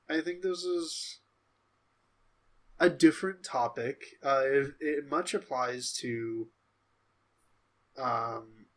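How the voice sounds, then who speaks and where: A man with a mid-pitched voice talks at an unhurried, slow pace. He sounds like a podcast co-host in a casual discussion of pop culture. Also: fairly bright tone, rough recording.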